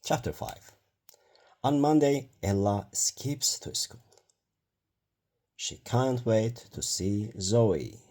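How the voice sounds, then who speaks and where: A man's voice: medium pitch, lively intonation, slow pace, long pauses, warm tone. A man reading a children's bedtime story aloud in a careful manner, stumbling now and then over words.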